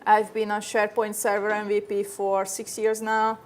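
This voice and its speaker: A woman's voice: medium pitch, monotone, normal speed, slight background noise. A woman from Hungary with a Hungarian accent, presenting a technical talk at a conference in an earnest way, often restarting her phrases. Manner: earnest, frequent restarts and fillers. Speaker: conference speaker.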